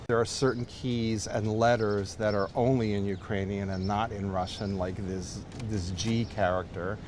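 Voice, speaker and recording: Earnest, low-voiced man collector. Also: darkish tone, a little hiss or hum. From United States. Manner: thoughtful, earnest, slightly halting. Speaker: typewriter collector. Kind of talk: interview answers.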